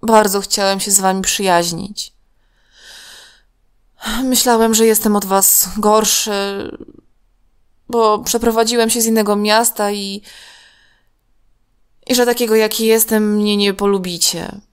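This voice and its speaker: A woman's voice: mid-range voice, fairly even intonation, measured pace, pauses often, clean tone, pitched above the performer's own voice, natural voice. A woman voicing a remorseful, pleading young boy in a children's story. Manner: pleading, earnest. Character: remorseful young boy confessing his lies to friends.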